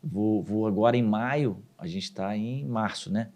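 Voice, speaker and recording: A man's voice: fairly low pitch, unhurried. A man, a surgeon guest telling stories on a podcast and acting out quoted dialogue. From southern Brazil. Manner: animated storyteller, acts out quoted dialogue. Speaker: urologist guest. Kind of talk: casual podcast interview.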